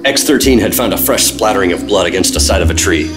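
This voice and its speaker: Radio serial: tough secret agent narrator, deep voice, suspenseful, loud score underneath. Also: male voice, lively intonation, fast talker. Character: hard-boiled American secret government agent recounting adventure. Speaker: narrating lead actor. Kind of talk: 1940s radio drama first-person narration. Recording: loud dramatic music behind.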